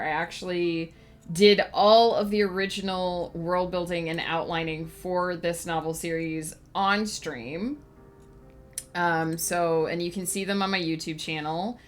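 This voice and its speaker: A woman author chatting casually on a livestream, thinking aloud and rambling with lots of fillers, a little sniffly. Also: fairly low pitch. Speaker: author streaming her writing process.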